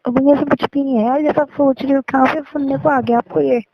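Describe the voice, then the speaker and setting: A dull, lo-fi call recording of a young woman. Her voice is very high and she answers defensively, insisting on her side of a personal argument. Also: fairly even intonation, fast, noisy background. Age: young adult. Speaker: girlfriend on a phone call. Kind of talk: relationship argument in casual talk. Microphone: phone call.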